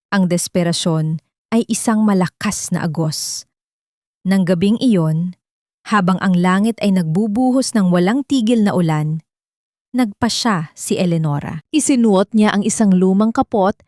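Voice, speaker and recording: Woman narrator doing a dramatic story, mid pitch, super dry close sound. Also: darkish tone, no echo.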